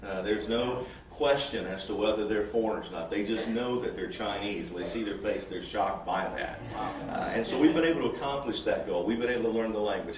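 A man's voice: lowish voice, reverberant, far from the mic, slight background noise, rough recording. A missionary in his forties, giving a folksy, humorous church report with frequent "uh" fillers. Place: church sanctuary.

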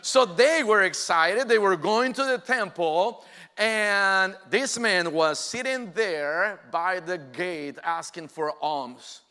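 High, bright-voiced pastor preaching slowly. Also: male voice, clear, resonant tone. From Mexico. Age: 40s. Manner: earnest, hesitant with frequent "uh" fillers. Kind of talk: sermon. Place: church sanctuary. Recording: long reverb from a large church hall.

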